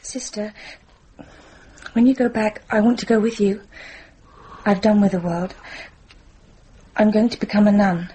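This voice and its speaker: A woman's voice: mid-range voice, measured pace, pauses often, mellow, a little hiss or hum, close to an everyday voice. An actress playing a headstrong, anguished young British noblewoman in a medieval radio drama, speaking formally and insistently. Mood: determined. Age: young adult.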